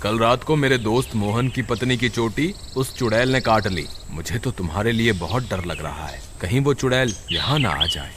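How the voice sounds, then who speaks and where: Man acting an anxious, animated village husband. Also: on the low side, normal speed, hardly any pauses, background noise, natural voice. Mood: worried. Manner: worried, dramatic, expressive. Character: anxious village husband, fretting protectively over his wife.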